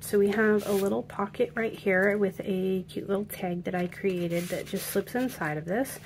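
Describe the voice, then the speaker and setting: A woman calmly narrating a walkthrough of a handmade album, explaining each step with fillers. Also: medium pitch, a little hiss or hum. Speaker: craft video creator. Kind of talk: casual craft walkthrough narration.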